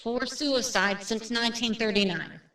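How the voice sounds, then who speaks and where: A woman playing a brisk, matter-of-fact real estate agent in a radio drama, plain-spoken and without superstition. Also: on the high side, bright, forceful tone, far from the mic. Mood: matter-of-fact, grim. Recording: roomy, off-mic drama recording.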